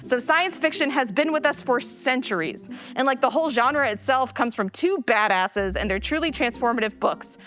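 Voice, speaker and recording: High, animated geeky woman enthusiast played by an actress. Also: moderate pace, few pauses. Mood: eager, explanatory. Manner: animated, emphatic, slangy explainer. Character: enthusiastic, geeky woman gamer eagerly explaining fan culture. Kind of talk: live audio play dialogue.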